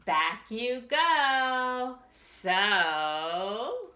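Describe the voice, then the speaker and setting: A woman's voice: fairly high pitch, big pitch swings, drawn-out words, sharp tone, reverberant. A woman reading aloud at a children's storytime in a sing-song, exaggerated way, inviting the children to join in.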